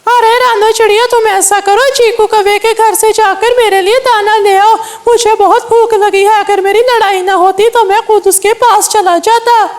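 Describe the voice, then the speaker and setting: Woman voicing a very high-pitched, touchy cartoon bird. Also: fairly even intonation, normal speed, hardly any pauses. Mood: complaining. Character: touchy, quarrelsome male cartoon bird, crow or parrot. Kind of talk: Hindi children's cartoon dialogue.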